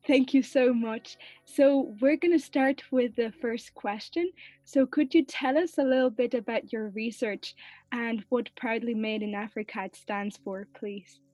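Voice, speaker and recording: A woman's voice: on the high side, unhurried, dark tone, no room sound, soft background music, rough recording. A woman hosting a podcast interview, introducing her guest and asking questions in a polite, formal way. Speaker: podcast host.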